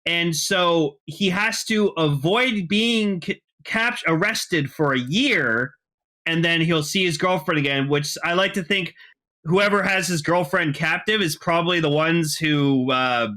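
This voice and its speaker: A man's voice: a little high, unhurried, bright, forceful tone. A man co-hosting a podcast, recapping a show in casual, enthusiastic, rambling talk full of "like". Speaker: podcast host.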